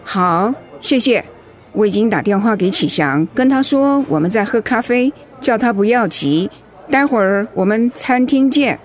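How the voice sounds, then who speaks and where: A woman's voice: fairly high pitch, animated, normal speed, natural voice. A woman acting a protective middle-aged mother-in-law in a radio drama, outspoken and motherly. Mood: tender. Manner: indignant, emphatic when provoked, motherly.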